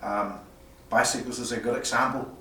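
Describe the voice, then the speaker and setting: Male product designer, explanatory and halting delivery, medium pitch, picked up at a distance with faint noise. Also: fairly even intonation, sharp tone. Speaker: loudspeaker designer presenting at a product premiere. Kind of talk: semi-technical product presentation, unscripted. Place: indoor room at an audio show. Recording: roomy, distant mic, some background noise.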